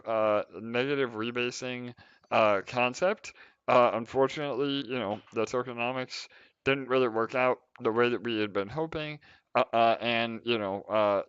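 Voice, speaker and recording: A man's voice: medium pitch, fairly bright tone, smooth, dry recording. A man hosting a casual livestream Q&A, talking with many filler sounds. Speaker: team lead and host. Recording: slightly dull, dry audio.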